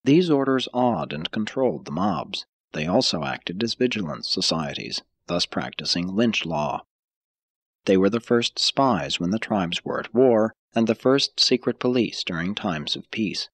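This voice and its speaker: A man narrating an audiobook from a script in a measured, formal way, with deliberate emphasis. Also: on the low side, animated, breathy edge, dry recording.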